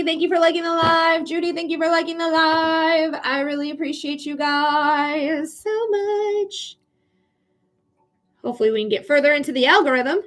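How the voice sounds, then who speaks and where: Chatty high-voiced woman with a Michigan accent. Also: a bit bright. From Michigan, United States. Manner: chatty and bubbly, laughs often. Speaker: psychic reader hosting a livestream. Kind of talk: casual livestream chat.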